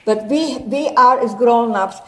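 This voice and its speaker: A woman in her eighties whose first language is German, telling her life story to an audience in a rambling, lively way with many restarts. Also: on the high side, measured pace, reverberant, a little hiss or hum. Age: 80s. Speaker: guest speaker.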